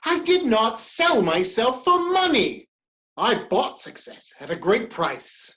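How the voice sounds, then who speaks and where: A woman's voice: a little high, huge pitch swings, slow pace, a bit bright, full character voice. A woman playing a proud, ambitious male politician in a dramatic play reading, speaking with theatrical emphasis and defiance. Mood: defensive, agitated.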